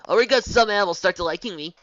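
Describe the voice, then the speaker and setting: A woman's voice: medium pitch, racing, fairly bright tone, dry recording, muffled recording, pitched above the performer's own voice, lightly acted. A woman doing an eager little boy dragon.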